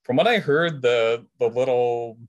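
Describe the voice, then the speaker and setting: A man with a slightly raspy voice, chatting casually and jokingly as a podcast guest. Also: medium pitch, a little slow. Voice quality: a little raspy. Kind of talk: casual chat.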